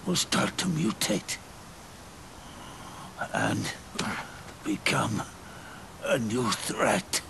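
An actor playing a weary, regretful old soldier near death in a video game cutscene, with a gravelly, breathy, halting and pained delivery. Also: male voice, lowish voice, big pitch swings, background noise, heavily acted. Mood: labored, explaining. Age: older. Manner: halting, solemn, labored delivery. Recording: orchestral music behind the voice.

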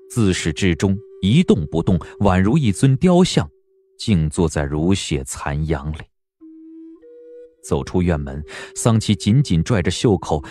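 A man narrating a period audio drama in a measured, literary storytelling style. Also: low-pitched, dark tone.

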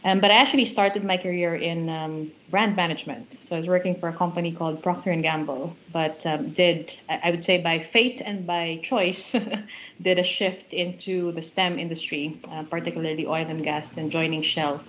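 A woman's voice: on the low side, steady tone, normal speed, a bit bright, a little hiss or hum, poor audio. A woman from the Philippines, a plant manager, talking in a thoughtful way with many fillers on a video call. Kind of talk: casual video-call chat.

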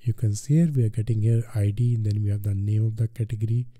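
Male tutorial presenter, Indian accent, very mellow dark tone, lowish pitch, instructing step by step, dry close sound. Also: fairly even intonation, fast talker, no echo. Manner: step-by-step instructing with repetitive filler phrases. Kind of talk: software screencast tutorial narration.

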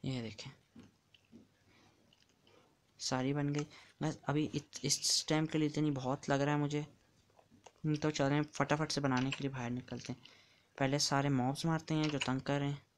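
Man chatting over his block game, flat voice. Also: on the high side, moderate pace, pauses often, slight background noise. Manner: chatty, counts blocks aloud while building. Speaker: gamer. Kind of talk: casual game commentary.

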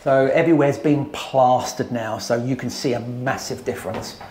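A man's voice: mid-range voice, fairly even intonation, noisy background. Man touring a gutted house. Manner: brisk, matter-of-fact, lists work item by item. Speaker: renovation company site manager giving a tour. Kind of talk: casual walkthrough site tour. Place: empty house under renovation, indoors, bare plastered rooms. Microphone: wide-angle camera carried by someone else, voice at a few meters.

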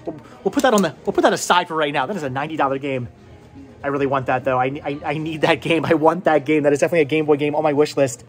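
A man's voice: on the high side, very fast, faint music behind. A middle-aged man hosting a casual vlog in a shop, rambling and repeating words for emphasis, recorded on a camera held at arm's length. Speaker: host. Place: inside a small cluttered movie and game shop. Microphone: phone or camera at arm's length.

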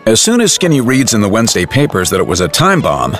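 A man's voice: on the low side, wildly swooping pitch, quick pace, fairly bright tone, soft background music. A man narrating a dramatised audiobook in a wry, colloquial storytelling manner.

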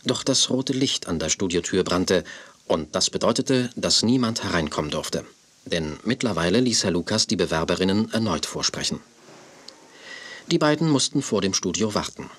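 A man's voice: fairly low pitch, slightly rough. A man narrating a children's audio drama as a scripted storyteller.

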